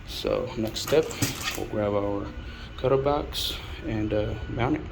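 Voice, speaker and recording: A man giving a casual, unscripted hands-on installation tutorial, speaking in a halting way with frequent pauses mid-sentence. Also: fairly low pitch. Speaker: installer presenting a how-to. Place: on an installation job site. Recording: clearly noisy on-site recording.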